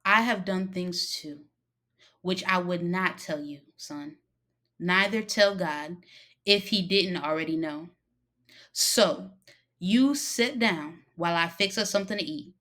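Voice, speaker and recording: A woman's voice: on the low side, slow, a bit bright. A woman voicing a stern but caring older woman in a story read-aloud, with a firm, folksy, emphatic way of scolding. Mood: reflective, firm.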